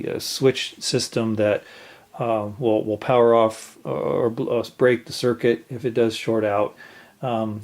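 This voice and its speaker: A man casually demonstrating old equipment hands-on, explaining in a halting, rambling way. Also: medium pitch, darkish tone, a little hiss or hum. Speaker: reseller demonstrating a vintage tube radio.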